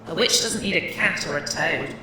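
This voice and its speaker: A woman's voice: low-pitched, lively intonation, normal speed, heavy reverb, recorded from a distance, noisy background. A woman playing an imperious, manipulative witch villain in an audio horror drama, theatrical and commanding. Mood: smug, coaxing.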